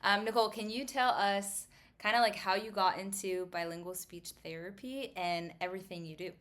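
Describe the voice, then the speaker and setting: A woman's voice: medium pitch, fairly even intonation, moderate pace, a bit bright. A woman hosting a casual interview, enthusiastic and informal, peppering her talk with "like". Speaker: interview host. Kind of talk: casual chat.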